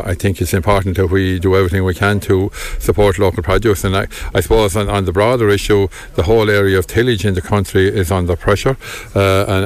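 Deep, flat-voiced farming spokesman. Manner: measured, halting with many restarts. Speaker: farm organisation representative. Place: outdoors on a potato farm.

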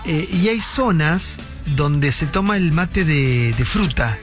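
Male radio panelist, Argentine, fairly high voice, casual explanatory chat, light music underneath. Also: lively intonation, slow.

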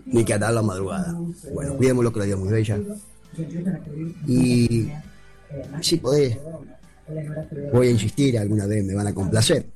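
A man leaving a friendly, rambling voice message for a radio show and requesting a song. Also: on the low side. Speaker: radio listener sending a voice message.

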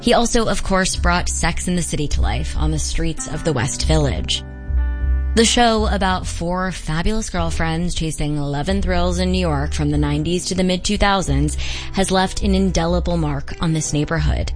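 A woman reads a feature report in a measured, descriptive way. Her voice sits low for a woman. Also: soft background music. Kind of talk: scripted narration.

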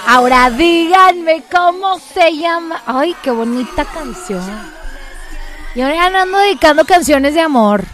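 A Mexican woman with a Mexican accent hosting a lively radio show, playful and joking, shouting cheers now and then from the studio booth. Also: very high-pitched, unhurried, soft background music. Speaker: radio host.

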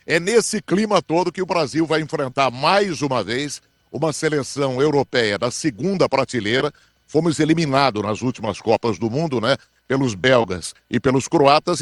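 A man talking as a radio sports commentator on a morning show, explaining matches in a measured way. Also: fairly high pitch, dry recording, studio quality.

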